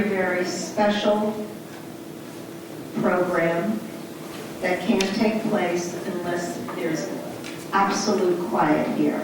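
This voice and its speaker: A woman teacher introducing an evening program to seventh graders in a measured, firm way. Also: medium pitch, noisy background. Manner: measured, firm classroom address. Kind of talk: addressing students. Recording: distant voice in an echoey hall.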